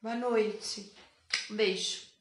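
A woman's voice: mid-range voice, steady tone, extremely slow. A middle-aged woman at home, coaxing and prompting her daughter and speaking protectively. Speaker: mother presenting her daughter. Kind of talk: casual home video talk. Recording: echoey room in phone video clip.

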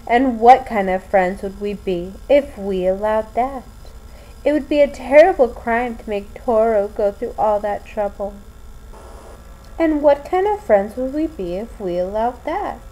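A woman voicing a gloomy, solemn teenage anime schoolgirl with eerie powers, speaking deliberately in an amateur dub audition. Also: a little high, expressive, dark tone, low-quality recording. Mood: quietly threatening. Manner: slow, deliberate, solemn delivery. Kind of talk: anime fan dub audition, acted lines.

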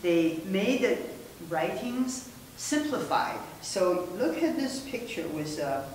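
A woman's voice: medium pitch, big pitch swings, slow pace, a little mellow. A woman, presenting as a museum director in a friendly, gesturing way with many fillers. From Taiwan. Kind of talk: casual gallery presentation. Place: indoor museum room. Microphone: far camera mic. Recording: echoey room, mic far off, faint hiss.

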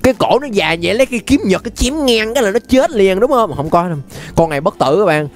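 A man's voice: high-pitched, expressive, quick pace, soft background music. A young man with a Southern Vietnamese accent giving casual, playful, exclamatory gameplay commentary as a YouTube host. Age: young adult. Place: indoor room, webcam setup.

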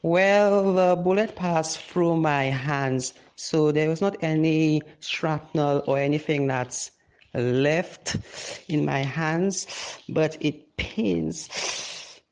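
A man playing a weary, affectionate injured partner in an amateur audio drama, measured and reassuring. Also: a little high, clean tone. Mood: reassuring, pained.

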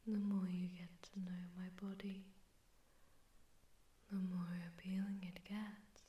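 A woman voicing a haughty, seductive sleep paralysis demon in a breathy, teasing whisper with an echoing distortion effect, for an ASMR audio roleplay. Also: mid-range voice, level tone, dark tone. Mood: flirtatious, intrigued. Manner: teasing, slow, flirtatious, condescending.

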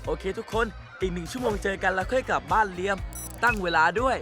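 A man's voice: high voice, quick pace, music in the background, close to an everyday voice. A man voicing an eager young boy who rallies his pals in a Thai cartoon dub. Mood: urgent, eager.

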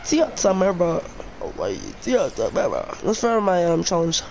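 A woman's voice: on the low side, very animated, moderate pace, gravelly, darkish tone, a little hiss or hum. A woman chatting playfully while playing a game, full of sing-song asides. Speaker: gameplay streamer. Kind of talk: casual chat while playing.

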